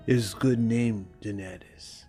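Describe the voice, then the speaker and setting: A man voicing a fierce warrior lord who is tender to his wife, speaking in halting, broken phrases in a story reading. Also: fairly low pitch, fairly even intonation, warm tone, husky, faint music behind. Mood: tender, quiet. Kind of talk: dramatic storybook character reading.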